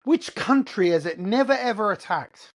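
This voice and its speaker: A man's voice: high voice, animated, normal speed. Heated, blunt English man ranting. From England. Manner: heated, blunt and profane, with rhetorical questions. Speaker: host. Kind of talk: ranting monologue.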